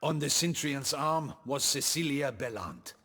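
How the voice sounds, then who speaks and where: An actor playing a dutiful, weary captain of the guard in a video game, giving formal, earnest military reports. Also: male voice, medium pitch, animated, unhurried, bright, forceful tone, husky, long reverb tail, exaggerated voice. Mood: grave, reporting. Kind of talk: dramatic video game acting.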